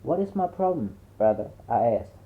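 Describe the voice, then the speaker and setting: Male audiobook narrator, average pitch, conversational and emphatic storytelling, thin telephone-grade sound. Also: very animated, normal speed. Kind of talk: dramatic first-person audiobook narration with slangy conversational delivery. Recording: muffled narrow phone-like audio.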